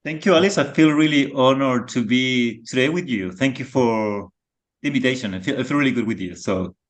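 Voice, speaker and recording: Mid-pitched Chilean man in his thirties, Spanish accent, soft and halting guest. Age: 30s. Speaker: podcast guest. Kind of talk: casual reflective conversation.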